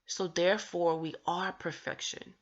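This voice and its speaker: A woman's voice: fairly low pitch, steady tone, a little slow, muffled recording. A woman vlogger talking earnestly about her own stutter, with occasional stammered restarts as she encourages others. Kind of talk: personal talk to camera.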